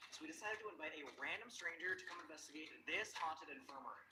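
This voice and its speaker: Female vlogger, rapid casual intro delivery, low pitch, loud background noise. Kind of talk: casual, quick vlog introduction. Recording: very noisy video playback.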